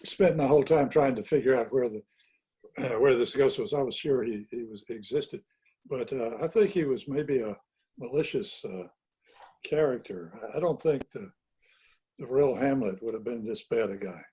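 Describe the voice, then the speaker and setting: A man's voice: medium pitch, normal speed, mellow, husky. A man giving a hesitant, informal comment in a video call discussion.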